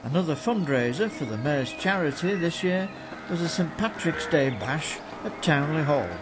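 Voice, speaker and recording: A man's voice: a little high, expressive, moderate pace. A man reads scripted narration for a local newsreel as its narrator. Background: music.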